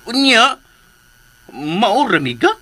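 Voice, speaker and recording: Shrill, childlike, simple-minded younger brother. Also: male voice, sing-song, slow, pushed and bright, exaggerated voice. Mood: eager, curious. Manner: simple, childish, repetitive phrasing. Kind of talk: radio drama acting.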